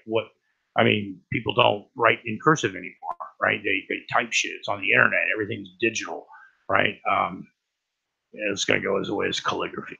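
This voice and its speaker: A man hosting a livestream with a raspy voice and a cold, rambling and swearing through casual talk about the news. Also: lowish voice, bright, forceful tone, muffled recording. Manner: profane and rambling, lots of "right?". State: has a cold. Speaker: livestream host.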